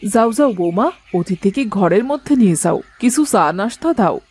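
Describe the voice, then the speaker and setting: A woman voicing a hospitable, gently bossy older mother-in-law in a cartoon family drama. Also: medium pitch, animated, moderate pace, slightly rough, no room sound. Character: hospitable, kindly older Bengali mother-in-law, head of household.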